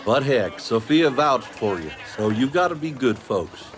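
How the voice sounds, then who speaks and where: An actor playing a folksy, wry pilot mentor in a video game cutscene, welcoming but firm and plain-spoken. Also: male voice, medium pitch, animated, a little slow, natural voice. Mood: friendly, firm.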